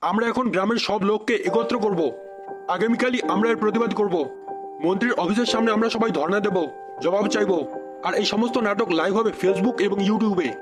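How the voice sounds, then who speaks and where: Outspoken cartoon village uncle played by a man, exhorting, plain-spoken, high male pitch, brisk, loud music behind. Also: natural voice. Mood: rallying. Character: outspoken village uncle rallying people against corruption. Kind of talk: comedy cartoon dubbing.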